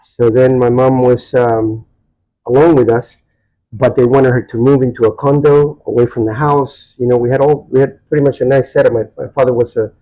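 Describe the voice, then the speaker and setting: Dark-voiced, halting man in his sixties. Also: mid-range voice, little pitch movement, dull audio. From Chile. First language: Spanish. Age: 60s. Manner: halting, meandering, often searching for words. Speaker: podcast guest. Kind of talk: personal storytelling in a casual interview.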